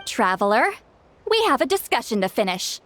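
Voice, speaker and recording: Haughty old-as-centuries shaman lady, highish lively voice, prickly and curt, video game style. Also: moderate pace, faint music behind. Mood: reluctant. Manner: haughty, curt, theatrical sighs and threats. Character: centuries-old shaman woman, youthful-sounding, proud, prickly, reluctant softie.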